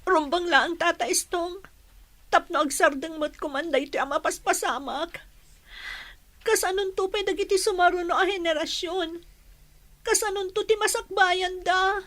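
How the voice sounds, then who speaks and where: A woman's voice: very high-pitched, normal speed, a bit bright, no room sound. A woman playing a grieving, resolute daughter of a village leader in an Ilocano radio drama, speaking plaintively. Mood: sorrowful, resolute. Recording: music playing behind the voice.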